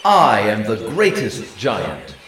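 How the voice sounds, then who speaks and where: A man's voice: lowish voice, wildly swooping pitch, slow pace, a bit bright, echoey room, far from the mic, a little hiss or hum, full character voice. A man putting on a roaring angry giant. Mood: raging. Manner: roaring, bellowing. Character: huge angry Scottish male giant, furious.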